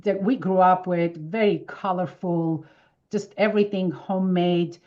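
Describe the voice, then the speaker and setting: A woman in her fifties from Armenia, speaking English with an Armenian accent, telling lively stories as an interview guest. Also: on the low side, a little slow, dull audio. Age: 50s. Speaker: wellness expert guest. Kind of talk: casual interview answers.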